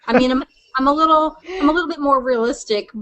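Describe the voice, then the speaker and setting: Woman, high voice, podcast co-host, casual conversational interviewing. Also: quick pace, fairly bright tone, low-quality recording.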